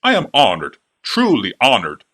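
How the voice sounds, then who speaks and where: A male narrator voicing an excitable, groveling shopkeeper who speaks in a rapid, gushing cadence. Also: medium pitch, full character voice. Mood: fawning. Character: fawning, excitable older male clockmaker.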